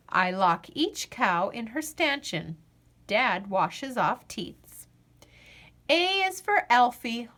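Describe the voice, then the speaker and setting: A woman narrates a children's picture book at an unhurried pace. Her pitch sits on the high side and moves up and down freely, and she phrases each line deliberately, stretching out the refrains. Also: fairly bright tone. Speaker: storyteller reading a children's picture book aloud. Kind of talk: expressive children's read-aloud narration.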